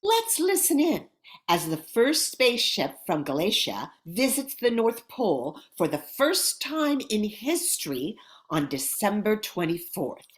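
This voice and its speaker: A woman's voice: medium pitch, very animated, a little slow. A woman narrating a scripted radio play in a dramatic storytelling manner. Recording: faint background noise, clicks.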